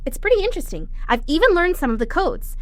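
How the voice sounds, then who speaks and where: Sassy curious 12 yr old girl, super high voice, bouncy, dry recording. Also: big pitch swings, quick pace, no echo. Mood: matter-of-fact, sassy. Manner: chatty, inquisitive, a little sassy. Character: precocious, curious twelve-year-old girl superfan sleuth. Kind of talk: audio drama dialogue.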